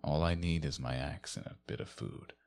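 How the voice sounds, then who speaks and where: A man playing a tabletop role-playing game, talking casually through his character's plans in an unhurried, halting way. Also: extremely low pitch, creaky, a little mellow, clear, resonant tone. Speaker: player in a tabletop game podcast.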